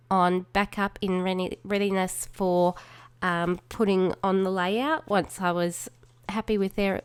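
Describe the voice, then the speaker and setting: Aussie woman doing a slow chatty craft voiceover, bit snuffly w a cold, smooth clear voice. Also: mid-range voice, no room sound. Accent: Australian. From Australia. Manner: chatty, relaxed, many fillers and trailing asides. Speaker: crafting channel host.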